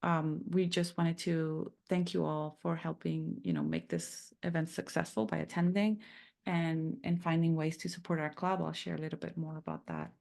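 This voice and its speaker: A woman's voice: low voice, lively intonation, moderate pace, vocal fry, a little mellow. A woman hosting an online briefing as club president-elect, speaking hesitantly with many fillers and restarts over a video call. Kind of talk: introducing a panel briefing.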